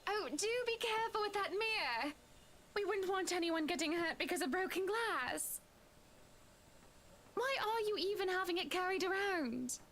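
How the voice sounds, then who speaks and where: A woman's voice: very high voice, lively intonation, moderate pace, a bit bright, slight background noise, voice pushed up. A woman voicing a genteel, chatty wealthy wife in a visual novel, with an airy, gushing manner. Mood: gracious, chatty.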